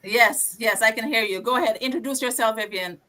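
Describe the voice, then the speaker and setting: Bright-voiced woman hosting a call. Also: fairly high pitch, slight background noise. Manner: brisk, calls on each guest by name. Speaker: host of an online group call. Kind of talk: casual video call hosting.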